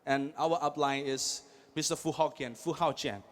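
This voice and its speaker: High bright-voiced man rousing a convention crowd. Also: normal speed. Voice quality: energetic, rousing the crowd with questions. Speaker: convention speaker. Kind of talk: motivational stage speech. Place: large convention hall.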